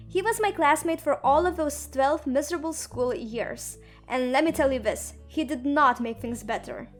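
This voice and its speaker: Young woman, high voice, sarcastic storytime narration, soft music behind. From Lithuania. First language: Lithuanian. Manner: sarcastic, dramatic and self-mocking. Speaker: animator and narrator. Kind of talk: comedic animated storytime narration.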